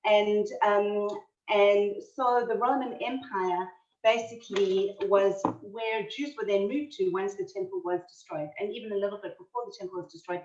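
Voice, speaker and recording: A woman's voice: medium pitch, fairly even intonation, normal speed, a little mellow. South African woman lecturing over a video call. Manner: chatty, many fillers and restarts. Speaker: lecturer on history. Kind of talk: online history talk. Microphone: computer mic on a video call. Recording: roomy, distant, dull video-call audio.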